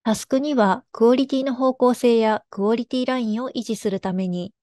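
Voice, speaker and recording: A woman presenting in a formal, measured, explanatory way, reading a scripted technical narration. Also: fairly high pitch, steady tone, dark tone, no echo, studio quality.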